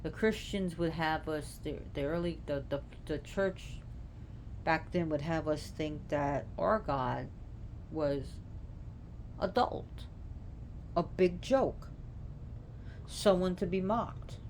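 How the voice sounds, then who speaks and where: A woman at home slowly talking an online audience through old lore, feeling for each word, with noise behind her. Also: sits low, darkish tone, rough recording. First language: not Gaelic. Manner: halting, searching for words. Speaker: presenter explaining names of a Celtic deity. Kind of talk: informal unscripted lecture. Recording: clear background noise.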